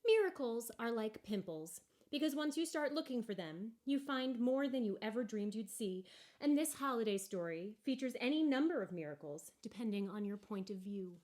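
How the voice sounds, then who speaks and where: A woman narrating a story aloud from a book in an expressive, deliberate and wry way. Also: on the high side. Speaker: storybook reader.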